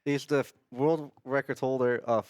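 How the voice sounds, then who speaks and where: Medium-pitched man, casual gaming commentary. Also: fairly even intonation, normal speed, a little mellow, dry recording, studio quality. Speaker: speedrunner. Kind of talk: casual live game commentary.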